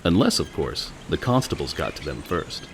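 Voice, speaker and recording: A man's voice: a bass voice, very animated, normal speed, heavy background noise. A man narrating as the announcer of a radio play in a theatrical old-time style.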